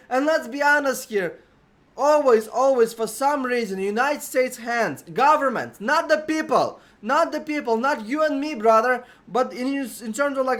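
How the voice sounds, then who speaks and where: High-voiced man. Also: a bit bright. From Uzbekistan. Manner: emphatic, ranting, checks in with "okay?". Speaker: vlogger. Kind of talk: opinion monologue to camera. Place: room at home with an air conditioner running.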